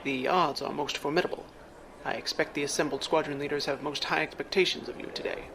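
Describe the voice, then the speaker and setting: Sci-fi drama aide, male actor: analytical, formal man, slightly high and gravelly, exact diction. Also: fairly even intonation, background noise. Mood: calm, analytical. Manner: measured, formal, exact wording. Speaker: adviser to the clan leader. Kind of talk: science fiction audio drama.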